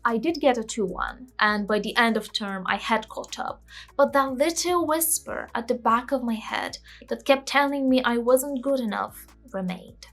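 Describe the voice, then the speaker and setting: A woman's voice: on the high side, normal speed, fairly bright tone, faint music behind. A woman in her twenties from Bulgaria, a native Bulgarian speaker, narrating a scripted vlog in a candid, self-deprecating way. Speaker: language-learning vlogger.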